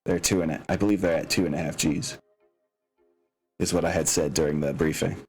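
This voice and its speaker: A man's voice: rumbling low, steady tone, brisk. A man speaking casually as a game master, thinking aloud and explaining rules with some hesitation. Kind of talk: narrating a tabletop mech game. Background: background music.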